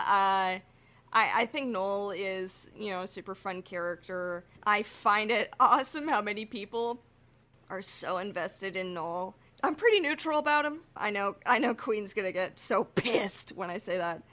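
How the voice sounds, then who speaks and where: A woman's voice: mid-range voice, bright, forceful tone. A woman co-hosting a podcast, chatting casually in a laid-back, slangy, jokey way. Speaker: podcast co-host. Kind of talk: casual chat.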